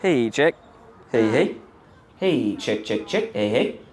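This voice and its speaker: A man chatting casually as an audio technician on the job, informal and thinking out loud. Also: medium pitch, very animated, slow pace, slightly rough. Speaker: audio technician setting up a PA system. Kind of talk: casual on-the-job vlog chat. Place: on location at an event venue. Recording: noisy on-location event setup.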